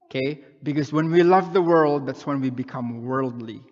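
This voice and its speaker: A man's voice: mid-range voice, moderate pace, a little mellow, long reverb tail, muffled recording. A man from the Philippines preaching a sermon in a conversational way, often checking in with the congregation by asking "okay?" or "right?". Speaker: pastor.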